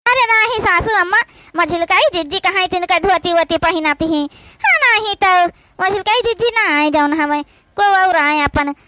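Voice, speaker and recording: Cartoon daughter-in-law who dodges chores, acted by a woman: sly and rambling, high bright voice, Awadhi accent. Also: normal speed. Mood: evasive. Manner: evasive, rambling with filler phrases. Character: sly, work-shy village daughter-in-law, adult woman. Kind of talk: village comedy cartoon dialogue.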